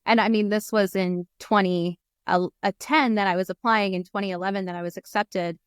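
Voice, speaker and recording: A woman's voice: medium pitch, steady tone, fairly quick, clean tone, no echo, studio quality. An American woman in her thirties, a guest chatting casually on a video call through an earphone mic, laughing often and using many fillers. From United States. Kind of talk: casual interview chat. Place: small room indoors. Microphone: earphone headset on video call.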